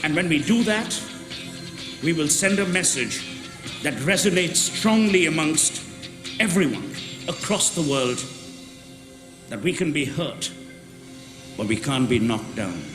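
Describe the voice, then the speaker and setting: A man reading a book excerpt aloud at a launch event, with a measured, emphatic delivery. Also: on the high side, expressive. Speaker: guest reader. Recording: roomy hall with music behind.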